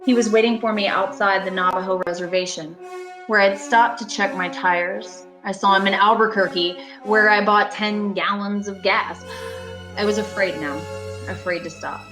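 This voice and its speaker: A woman's voice: mid-range voice, steady tone, moderate pace, natural voice, rough recording. A woman playing a frightened, desperate lady driver in a radio thriller, urgent and dramatic. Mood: haunted dread. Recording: music behind the voice.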